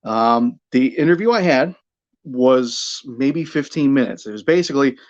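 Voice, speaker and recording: Middle-aged American male, medium pitch, candid broadcaster-guest in relaxed conversation, halting and self-effacing; slightly muffled audio. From Buffalo, New York area, United States. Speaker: sports radio play-by-play broadcaster, interview guest. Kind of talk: conversational career storytelling.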